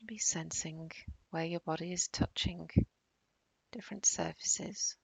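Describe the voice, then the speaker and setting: Very creaky, slow-speaking woman guiding meditation. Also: fairly low pitch, no echo, rough recording. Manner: calm, soothing, unhurried with long pauses. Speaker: meditation guide. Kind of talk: guided body-scan meditation.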